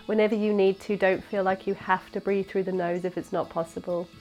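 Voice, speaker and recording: Stuffy-nosed young woman leading a slow yoga class, soft and easy guidance. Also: mid-range voice, little pitch movement, gravelly, soft background music. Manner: gentle, unhurried guiding. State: congested, nasal from a cold. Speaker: yoga teacher. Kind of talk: guided yoga class instruction.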